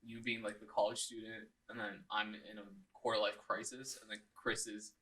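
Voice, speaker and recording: A twenty-five-year-old man co-hosting a podcast, chatting casually with teasing, quick interjections. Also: lowish voice, flat delivery, fairly bright tone, rough recording. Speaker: podcast co-host.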